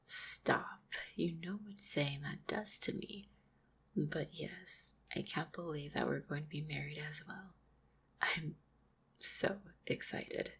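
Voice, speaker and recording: Low, husky, breathy orc woman, acted by a woman. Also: slow, full character voice. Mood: tender. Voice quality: breathy, husky, often near-whispered. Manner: tender, reassuring, gently teasing. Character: affectionate, supportive orc woman soothing her fiancé. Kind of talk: intimate roleplay audio acting.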